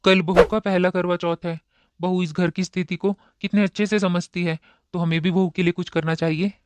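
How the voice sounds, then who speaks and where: A man voicing a gentle, kindly father. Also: sits high, moderate pace, background noise, close to an everyday voice. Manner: gentle, coaxing and steady. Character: kind, worried middle-aged father. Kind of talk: Hindi cartoon dubbing.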